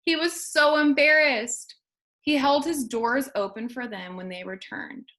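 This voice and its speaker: A woman, a kindergarten teacher, reading a children's storybook aloud expressively and deliberately to young listeners. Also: high-pitched, steady tone, pushed and bright.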